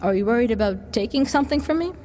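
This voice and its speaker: A woman playing a smooth-tongued devil boy in an audio drama, coaxing like a salesman and offering tempting gifts. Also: fairly high pitch, animated, fast, a little mellow, lightly acted. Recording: long reverb, background noise.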